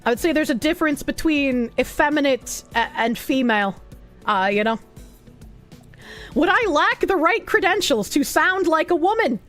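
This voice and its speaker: A woman's voice: sits high, animated, normal speed, fairly bright tone. A woman streamer giving blunt, swearing commentary on an article she reads aloud, with faint music behind her. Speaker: VTuber streamer reacting to an article.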